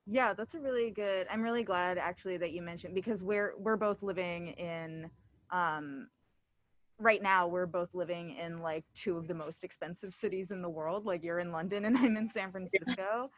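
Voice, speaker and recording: A woman interviewer with a slightly low voice, recorded dry and close. She talks casually and haltingly, often searching for her words. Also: dry recording. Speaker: interviewer and event host.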